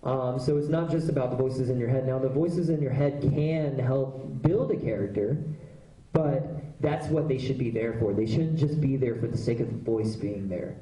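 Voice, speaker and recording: Chatty man with a dark, echoey voice. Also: medium pitch, normal speed. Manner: chatty, loose, lots of fillers. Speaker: voice actor hosting a convention panel. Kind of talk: casual convention panel Q&A. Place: convention panel room. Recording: echoey panel room.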